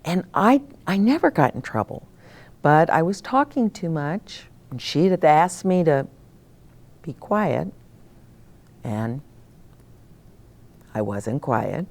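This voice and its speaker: Older woman, children's storyteller: lowish pitch, highly animated intonation, simple direct address to kids. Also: slow pace, long pauses. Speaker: children's story time host. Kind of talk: storytelling and advice to young children.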